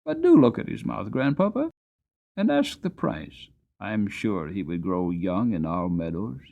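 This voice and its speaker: A man's voice: fairly low pitch, big pitch swings, unhurried, creaky, narrow, tinny audio, full character voice. A man voicing an eager, kind-hearted young boy who pleads earnestly, in a dramatic audiobook reading. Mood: eager pleading.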